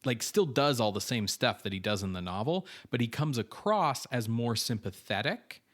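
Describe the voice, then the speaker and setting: A man's voice: medium pitch, animated, normal speed. A man co-hosting a film podcast, reading background notes in an informative, casual way with frequent fillers. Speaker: podcast co-host.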